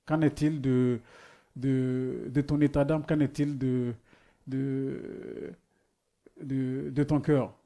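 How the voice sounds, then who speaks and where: A man's voice: medium pitch, flat delivery, takes it slowly, gravelly, warm tone. A man who is not a native speaker preaches a sermon, asking rhetorical questions and often restarting as he searches for words. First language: not French.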